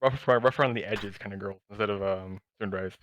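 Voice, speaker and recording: A man's voice: on the low side, brisk, a bit bright, slight background noise. A man co-hosting a podcast, chatting casually and telling stories in an enthusiastic, rambling way. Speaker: podcast co-host. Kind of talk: casual chat about anime.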